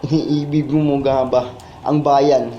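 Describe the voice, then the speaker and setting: A man's voice: medium pitch, little pitch movement, slow. A man with a Filipino accent reciting spoken word poetry in a solemn, impassioned, declamatory manner. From Philippines. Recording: muffled, echoey, with background noise.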